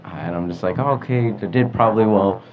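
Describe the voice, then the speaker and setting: A teenage boy chatting casually, telling a school story in an animated way with bursts of laughter. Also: on the low side, fairly quick, a little hiss or hum. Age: teens. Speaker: teen podcast co-host. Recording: dull lo-fi sound with music behind.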